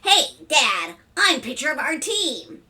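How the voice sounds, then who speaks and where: A brash, sporty schoolboy from a storybook, acted by a woman. The voice is raised and forceful, boastful and insistent, with animated pitch. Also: slow pace, exaggerated voice. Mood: insistent, indignant. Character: boastful, indignant boy, a sporty older brother.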